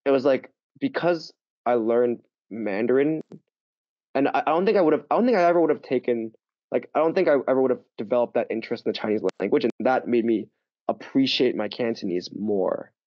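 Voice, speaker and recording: A man's voice: medium pitch, no room sound. A man chatting as a podcast guest, telling stories animatedly with lots of fillers and restarts. His audio is dull and muffled, with a dry, close sound. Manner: animated storytelling, full of fillers and quick restarts. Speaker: podcast guest, language teacher. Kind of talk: casual interview chat.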